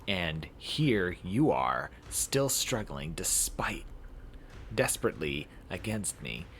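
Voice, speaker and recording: A man voicing a cocky, bitter villain in a visual novel, goading an opponent with drawn-out questions. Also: low-pitched, a bit bright, full character voice. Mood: gloating. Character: taunting, bitter battlefield villain, cocky and menacing. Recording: clear background noise.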